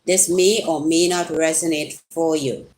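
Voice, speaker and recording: A woman's voice: low voice, fairly even intonation, measured pace, darkish tone, slight background noise. Chatty Trinidadian woman reading tarot. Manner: chatty, teasing viewers directly, rising exclamations. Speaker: tarot reader. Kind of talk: live-stream tarot reading, casual.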